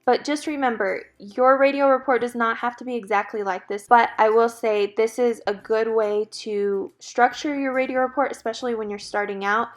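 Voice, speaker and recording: A woman speaking as an instructor and channel host, explaining things in a casual, chatty, encouraging and plain-spoken way. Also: mid-range voice. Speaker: EMS educator and channel host. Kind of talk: casual instructional talk with a demonstration radio report.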